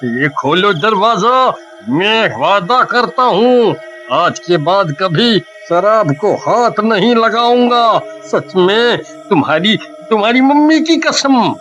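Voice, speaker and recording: Man acting a high, sharp, cowardly cartoon husband. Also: sing-song, slow pace, lo-fi sound, exaggerated voice. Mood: panicked pleading. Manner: pleading and scolding, stretched exclamations. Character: cowardly, blustering drunkard village husband. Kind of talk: Hindi children's cartoon voice acting.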